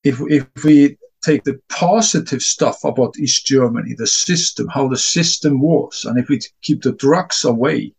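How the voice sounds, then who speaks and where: A man's voice: medium pitch, a little slow, vocal fry, few pauses, a little mellow, breathy edge. Earnest Icelandic-born man, middle-aged. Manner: earnest, repeats words when thinking, non-native grammar. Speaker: discus coach. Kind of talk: interview answer. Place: home.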